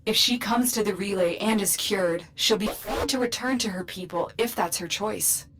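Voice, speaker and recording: A woman's voice: mid-range voice, normal speed, lightly acted. Woman playing a composed, protective female commander. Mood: concerned, firm. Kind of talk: dramatic video game dialogue.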